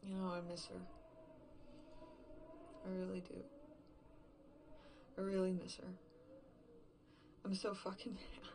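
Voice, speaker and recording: Horror podcast lead: actress playing an unraveling woman alone in an empty world, slightly low register, halting and sweary confessional, light background noise. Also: vocal fry, darkish tone. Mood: grieving, angry. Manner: rambling, halting, self-questioning, profane. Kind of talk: serial horror fiction podcast monologue, confessional.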